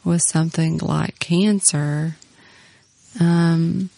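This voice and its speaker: Earnest low-voiced woman. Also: steady tone, very slow, creaky, dark tone, no echo. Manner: earnest, reflective, many "you know"s. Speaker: podcast co-host, pastor's wife. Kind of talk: casual faith conversation.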